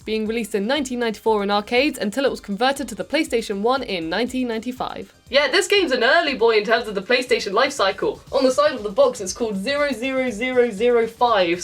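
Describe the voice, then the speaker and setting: High-pitched British woman, chatty reviewer. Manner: chatty, jokey, playful British slang. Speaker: host of a video game review channel. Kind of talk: casual comedic review to camera.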